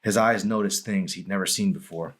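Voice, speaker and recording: A man reading his own novel as a dramatic audiobook, in a grave, deliberate storytelling manner. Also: deep voice, steady tone, a little hiss or hum.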